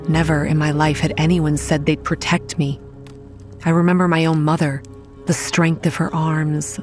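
A young woman playing a dazed, haunted campground manager, narrating in the first person in a horror audio drama in a measured, introspective way. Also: low voice, a little mellow, lightly acted. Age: young adult.

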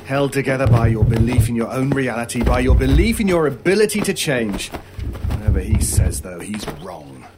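A man's voice: medium pitch, expressive, very noisy, close to an everyday voice. Menacing, scornful stranger played by a man. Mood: menacing, taunting. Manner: theatrical, scornful, deliberate, cutting. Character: menacing male stranger, scornful, coldly persuasive, taunting. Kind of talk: old-time radio style horror audio drama acting.

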